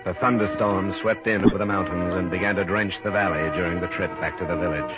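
An actor playing a cool, skeptical private investigator with an American accent and a clipped, probing manner, in a radio drama. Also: male voice, low-pitched, fairly even intonation, fast, loud music behind the voice, lightly acted. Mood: narrating. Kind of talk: 1950s radio drama acting and narration. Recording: muffled old radio recording.

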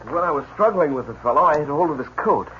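A man's voice: a little high, lively intonation, quick pace, close to an everyday voice. An actor playing an eager, loyal young man with a polite, respectful manner, in a 1950s radio drama, in a muffled, hissy old broadcast recording. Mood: earnest. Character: earnest young male lawman, eager and loyal.

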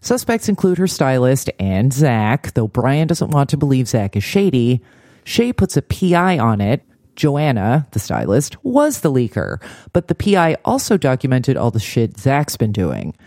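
Brief: female podcast host, dark relaxed timbre, dry close sound, sardonic recap delivery. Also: rumbling low, measured pace, no room sound. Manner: sardonic, blunt. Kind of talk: conversational TV episode recap.

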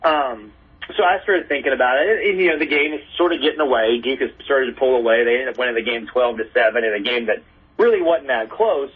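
A man's voice: mid-range voice, fast talker, breathy edge. An American man, a sports announcer, chatting casually as an interview guest over a remote call. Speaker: baseball radio play-by-play announcer, podcast guest. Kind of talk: casual anecdotal interview chat. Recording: narrow, telephone-like call sound.

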